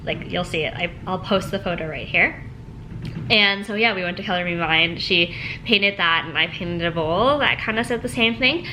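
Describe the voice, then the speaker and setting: A woman's voice: mid-range voice, pushed and bright, a little hiss or hum, poor audio. A young woman vlogging in casual, rambling chat, her voice worn and her nose stuffy as she loses her voice. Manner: chatty, rambling, peppered with "like". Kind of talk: casual daily vlog chat. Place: at home, then at a restaurant.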